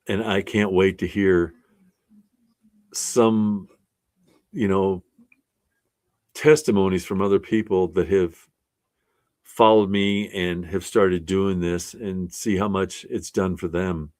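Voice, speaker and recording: An older man in his sixties with a very low, deep voice talks slowly and unhurriedly to his class. He sounds earnest and plainspoken as an art resilience instructor, encouraging his listeners in a casual way. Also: fairly even intonation, darkish tone.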